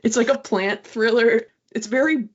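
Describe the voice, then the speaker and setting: A woman's voice: fairly high pitch, normal speed, husky, highs cut off. A woman co-hosting an interview in a casual, chatty, informal way. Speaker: interviewer, co-host.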